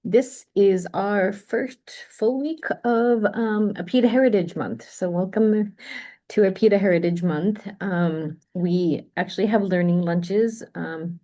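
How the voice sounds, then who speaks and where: A woman's voice: mid-range voice, unhurried, dark tone, dull audio. A woman directing a campus center, introducing a guest in a chatty, hesitant way with many fillers. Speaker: center director, event host. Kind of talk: welcoming introduction.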